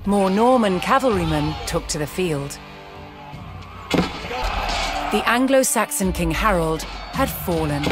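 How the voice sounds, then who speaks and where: A narrator reading a scripted historical account in a measured, dramatic storytelling manner. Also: mid-range voice, expressive. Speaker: documentary narrator. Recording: loud music behind the voice. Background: loud background music.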